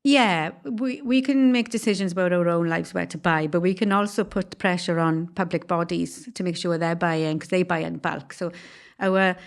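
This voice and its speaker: A woman's voice: lowish voice, steady tone, moderate pace, vocal fry. A woman, a business owner interviewed as a podcast guest, explaining things steadily, step by step, with examples. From Wales.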